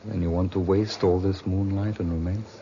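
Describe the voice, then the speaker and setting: An actor playing a philandering, short-tempered, secretive lover in a 1950s radio drama, on a muffled, noisy recording. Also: male voice, sits low, steady tone, moderate pace, warm tone. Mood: flirtatious.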